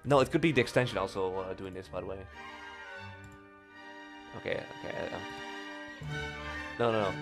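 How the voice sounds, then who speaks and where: Low-voiced Dutch guy streaming. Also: moderate pace, pauses often, loud music behind the voice. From Netherlands. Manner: loose and rambling, swears, many fillers. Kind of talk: casual live-stream chat. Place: small dark room indoors. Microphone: studio mic on a boom arm.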